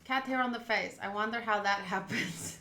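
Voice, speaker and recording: A chatty, expressive woman, a native Afrikaans speaker, streaming a game with casual talk and reading aloud. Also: mid-range voice, normal speed. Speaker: streamer playing a visual novel. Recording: roomy sound with music underneath. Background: game music.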